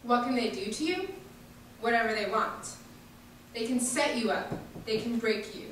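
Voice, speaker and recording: Lady reciting a poem slowly phrase by phrase, far from mic, lots of echo. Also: a little high, lively intonation, a bit bright. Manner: measured, deliberate short phrases. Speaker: reader at a memorial staged reading. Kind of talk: poetry recitation. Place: large echoey indoor hall, distant microphone. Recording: echoey hall from far off, faint noise.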